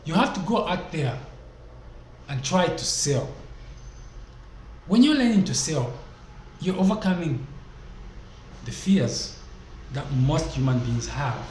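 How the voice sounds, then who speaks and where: A man's voice: on the high side, very animated, slow, long pauses, darkish tone. A man from Zambia giving business advice as a vlogger, emphatic and fond of rhetorical questions, speaking into a desk microphone. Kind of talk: casual motivational talk to camera. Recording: echoey room, faint background noise.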